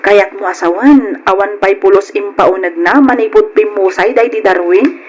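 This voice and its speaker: An actress playing a concerned, respectful family friend in an old Ilocano radio drama, speaking earnestly and with worry. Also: female voice, medium pitch, moderate pace, slight background noise, close to an everyday voice. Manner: earnest, worried.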